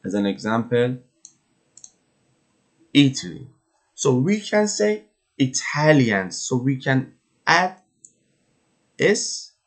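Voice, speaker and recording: Male English teacher, mid-pitched, deliberate slow pace, textbook walkthrough. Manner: slow, careful, repeats words for pronunciation. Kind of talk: vocabulary lesson walkthrough.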